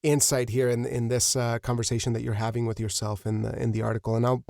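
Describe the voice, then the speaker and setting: A man hosting an interview in casual, reflective talk, effusive and earnest with halting phrasing. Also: medium pitch, level tone, creaky, darkish tone, no room sound, clean studio sound. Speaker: podcast host, interviewer.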